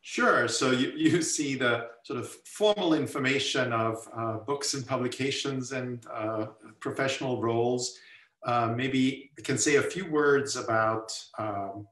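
A man's voice: medium pitch, fairly bright tone, far from the mic. Older man on a roomy video call. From Austria. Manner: thoughtful, many filler pauses. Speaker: guest professor. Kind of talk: webinar talk. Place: home room on a video call. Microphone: laptop mic on video call. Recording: roomy video call audio, mic set back.

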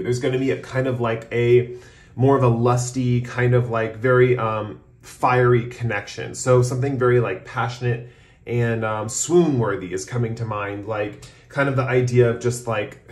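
A man giving a casual, improvised tarot reading, talking in a rambling way full of "like" and "kind of". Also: mid-range voice. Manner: rambling, hedging with frequent "like" and "kind of". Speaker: tarot reader, host. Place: indoors at a tabletop, off camera. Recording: roomy, echoing room sound.

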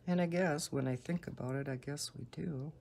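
A woman with a Northern American accent and a low, soft voice, chatting casually and unhurriedly. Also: creaky, slight background noise. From northern United States. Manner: unhurried, deliberately slow talking. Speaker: coloring video host. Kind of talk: casual rambling chat while coloring.

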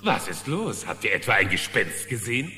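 An actor voicing a brash, quick-witted bear pilot who blusters and bluffs in a children's cartoon radio play. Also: male voice, on the low side, sing-song, pushed and bright, heavily acted. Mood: puzzled. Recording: echoey hall reverb, faint music.

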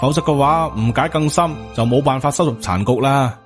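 A man's voice: medium pitch, a little fast, soft background music, natural voice. A man with a Hong Kong Cantonese accent voicing a humble, anxious male disciple in an audiobook, apologetic and deferential. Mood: regretful.

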